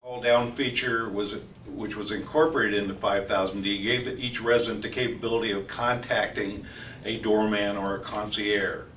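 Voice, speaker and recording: A man giving an informal technical training explanation as a company trainer, speaking haltingly with frequent hesitations. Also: lowish voice, fairly even intonation, a bit bright. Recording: roomy echo, distant mic, faint noise.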